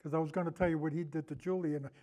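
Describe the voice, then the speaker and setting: High-pitched, mellow, rambling man. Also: fairly even intonation, very fast. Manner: rambling, earnest, repeats himself. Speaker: podcast guest and film enthusiast. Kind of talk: casual film discussion.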